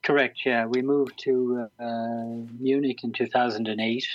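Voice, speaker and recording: A man's voice: mid-range voice, level tone, measured pace, dry recording. Irish man in his sixties, dull dry sound. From Ireland. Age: 60s. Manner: hesitant, lots of ums and restarts. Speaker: interview guest. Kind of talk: casual interview chat.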